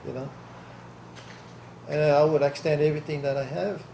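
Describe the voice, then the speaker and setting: A man's voice: fairly high pitch, steady tone, normal speed, gravelly, warm tone. An older man from Hawaii, answering questions in an oral history interview, blunt and plainspoken, laughing easily. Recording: echoey room with faint hiss.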